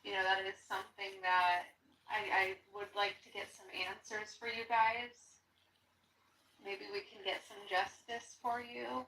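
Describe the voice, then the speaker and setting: A woman speaking as a paranormal investigator, asking questions out loud during an investigation. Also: medium pitch, little pitch movement, unhurried. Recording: distant mic, faint room noise.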